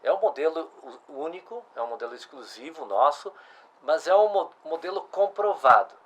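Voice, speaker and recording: A man's voice: a little high, animated, moderate pace, fairly bright tone. A middle-aged man, hosting a casual interview and asking follow-up questions. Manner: conversational, restarts and asks follow-up questions. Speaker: host.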